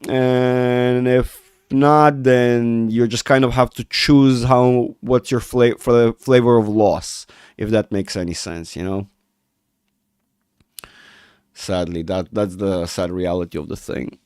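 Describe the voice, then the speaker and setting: A male livestream host with a cold talks loosely through market moves and answers chat, often breaking off mid-sentence. His voice is still smooth and clear, recorded close and dry with no room sound. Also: medium pitch, steady tone, takes it slowly, darkish tone, no room sound. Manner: rambling, self-interrupting, reads chat aloud. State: has a cold, voice rough. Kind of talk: casual livestream chat with viewers.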